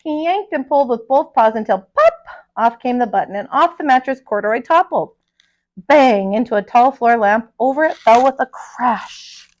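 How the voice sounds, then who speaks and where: A woman storyteller reads a picture book aloud for children. Her voice sits fairly high and moves widely in pitch, expressive and dramatic like a teacher at storytime. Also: moderate pace.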